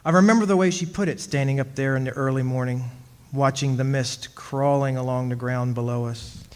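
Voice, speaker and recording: A man's voice: medium pitch, fairly even intonation, brisk, a little mellow, clear, resonant tone, lightly acted. An actor playing an uneasy immortal man, telling his story in a confiding, conversational way in a radio drama revival. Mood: wry, matter-of-fact. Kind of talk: stage revival of 1940s radio drama narration.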